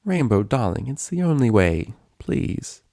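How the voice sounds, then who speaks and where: A man's voice: mid-range voice, sing-song, measured pace, vocal fry, mellow, dry recording, clean studio sound, voice pushed up. A man voicing a refined, fussy female pony with a genteel manner. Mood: pleading.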